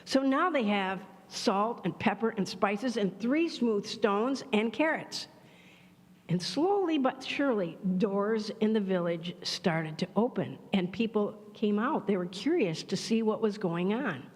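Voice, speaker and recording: Woman telling a folktale in an echoing church. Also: mid-range voice. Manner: animated, expressive, acting out voices. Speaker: storyteller at a church service. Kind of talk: storytelling for all ages. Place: church sanctuary. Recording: long church hall reverb.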